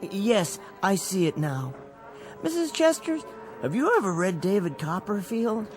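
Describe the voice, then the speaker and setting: Nerdy meek bank teller man, high voice, swoops around a lot, apologetic and rambly, radio play. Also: sing-song, measured pace, faint music behind. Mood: distracted, enthusiastic. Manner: fussy, rambling, apologetic, earnest. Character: meek, bookish, absent-minded little male bank teller. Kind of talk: radio drama acting.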